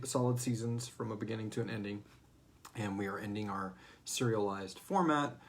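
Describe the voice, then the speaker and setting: A man's voice: on the low side, creaky, slight background noise. A man hosting a casual livestream chat in a jokey, rambling way, sometimes muttering as he sets things up. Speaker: host and co-creator of a horror audio drama.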